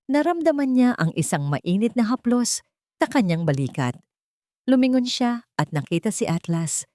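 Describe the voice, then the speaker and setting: Female storyteller for romance audio drama, on the lower side, close and dry recording. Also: a little mellow, dry recording. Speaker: narrator.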